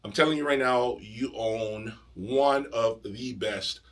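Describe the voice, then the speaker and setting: A man hosting an enthusiastic video review, speaking emphatically with dramatic pauses. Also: medium pitch, a bit bright. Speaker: audio review channel host. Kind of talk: enthusiastic product review presentation to camera.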